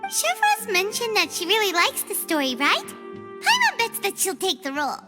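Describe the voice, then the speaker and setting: A tiny, cheerful fairy sidekick from a video game. The childlike squeak is extremely high and swoops wildly as it chirps. Loud music plays behind. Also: female voice, wildly swooping pitch, moderate pace, in a large hall, exaggerated voice. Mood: confident, chirpy. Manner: chirpy, refers to self in third person. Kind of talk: cartoonish video game voice acting. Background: prominent music.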